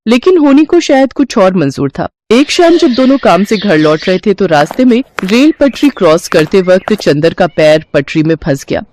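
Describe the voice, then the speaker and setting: A woman narrating a moral story in a calm, scripted storytelling manner. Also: mid-range voice, normal speed, few pauses, no room sound.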